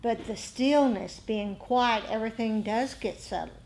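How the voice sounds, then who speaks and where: A woman giving an informal talk as a meditation teacher, speaking reflectively and haltingly, often pausing and repeating phrases. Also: on the high side, a little mellow, a little hiss or hum, rough recording. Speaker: meditation teacher leading a group. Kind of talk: informal dharma talk with group discussion.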